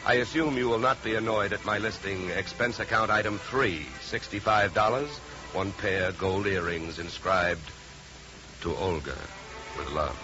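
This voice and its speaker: A man's voice: lowish voice, close to an everyday voice. An American actor playing a tough, wry male investigator in a 1950s radio detective drama, with a clipped hard-boiled delivery. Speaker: lead actor. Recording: muffled 1950s radio recording, music.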